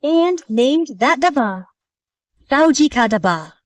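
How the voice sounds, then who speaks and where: A woman's voice: high-pitched, expressive, slow, no room sound, recorded from a distance. A woman reading scripted storybook narration.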